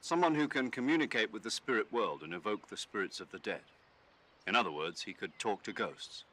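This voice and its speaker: Earnest older major, formal, measured briefing delivery, forceful bright tone, fairly low, video game. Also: male voice, expressive. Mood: grave, explanatory. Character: earnest, formal older male military commander giving briefings.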